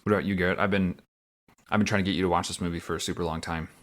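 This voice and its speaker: Deep-voiced chatty young man. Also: steady tone, racing, fairly bright tone. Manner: chatty, lots of fillers and laughs. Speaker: podcast co-host. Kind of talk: casual chat.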